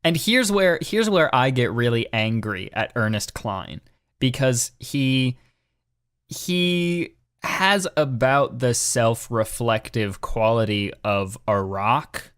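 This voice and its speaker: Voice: medium pitch, expressive, takes it slowly, fairly bright tone, no echo, studio quality. A podcast co-host giving a dramatic reading and comic chat, sounding exasperated and groaning over a bad text.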